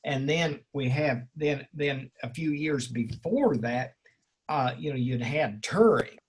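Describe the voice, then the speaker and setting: Husky, effusive male seminar instructor. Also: mid-range voice, slow pace, poor audio. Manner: effusive, erudite, rambling, jokey. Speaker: instructor leading an online class session. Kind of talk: informal seminar discussion.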